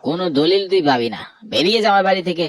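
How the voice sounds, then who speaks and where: Man acting as greedy, domineering older brother, higher voice. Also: lively intonation, racing, dry recording. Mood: scornful, threatening. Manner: scornful, domineering. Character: greedy, cruel older brother hoarding the family land. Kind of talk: Bengali horror cartoon dialogue.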